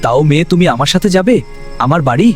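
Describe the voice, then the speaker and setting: A man's voice: a little high, animated, fast, faint music behind, natural voice. A man voicing a stubborn village man in a horror cartoon, reassuring and dismissive of fears.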